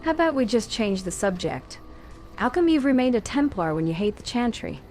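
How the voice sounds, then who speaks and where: A woman plays a caring young warrior heroine in a fantasy game. Her voice is a bit high and measured, with a calm, gently probing manner. Music runs behind the dialogue. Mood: curious. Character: calm, caring female Grey Warden heroine. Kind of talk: video game dialogue acting.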